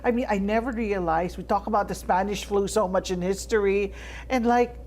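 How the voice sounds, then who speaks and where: A mid-pitched woman from the Philippines hosts a casual interview. She reacts often and asks chatty questions. Faint background noise sits under her voice. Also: steady tone, normal speed. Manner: warm reactions, frequent wows. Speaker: show host.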